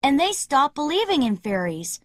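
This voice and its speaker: A woman voicing a cocky, excitable young boy who never grows up in an animated children's story, boastful and blunt. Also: high-pitched, moderate pace, no room sound. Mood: earnest.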